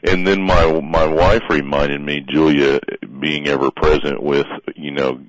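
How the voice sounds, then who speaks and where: A middle-aged man, a strength coach guest on talk radio, gruff and rambling with lots of restarts and fillers. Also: rumbling low, steady tone, unhurried, low-quality recording. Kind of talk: casual radio conversation.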